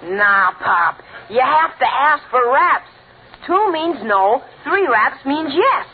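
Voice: sits high, sing-song, takes it slowly, exaggerated voice. A performer playing an earnest, worried thirteen-year-old American boy in 1940s radio comedy, eager and naive. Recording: tinny, faintly noisy 1940s radio recording.

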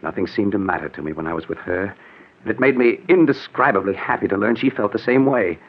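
An actor playing a haunted, exasperated husband in an old radio drama, with a husky voice and brooding, dramatic delivery. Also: male voice, on the low side. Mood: wistful. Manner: brooding, dramatic pauses and repetitions. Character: haunted, exasperated husband recounting his nightmare marriage. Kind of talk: 1940s-50s radio drama acting. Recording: muffled old radio recording.

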